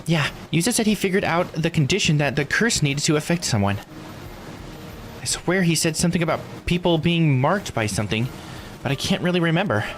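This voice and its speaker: A man voicing an excitable, frightened young woman in a video game, startled and questioning. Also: a little high, brisk, a little hiss or hum, voice pushed up. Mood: shaken. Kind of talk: video game dialogue read aloud.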